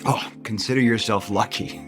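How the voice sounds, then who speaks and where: Low voiced soap opera man played by a male actor, kinda weary and guarded but sweet, talks close and sincere. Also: fairly even intonation, moderate pace, a bit bright, soft background music, lightly acted. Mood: affectionate, relaxed. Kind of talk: audio soap opera acting.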